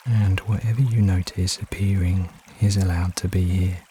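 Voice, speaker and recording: A man narrates a guided meditation in a low-pitched voice. He gives soothing instructions without hurry, leaving frequent pauses between phrases. A faint wash of trickling stream water runs behind him. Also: slight background noise. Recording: steady water ambience behind voice. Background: trickling stream water sounds.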